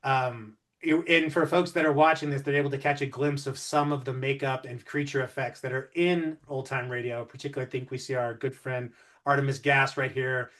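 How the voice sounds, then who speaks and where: Guy hosting an interview, enthusiastic, chatty, regular mid pitch voice. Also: fairly even intonation, brisk, a bit bright. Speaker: interview host.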